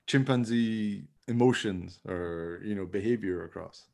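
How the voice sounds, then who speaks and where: A man with a creaky voice chatting casually as a host about making radio drama. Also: lowish voice, measured pace, a little mellow. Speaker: podcast host, radio play maker. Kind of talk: casual behind-the-scenes chat.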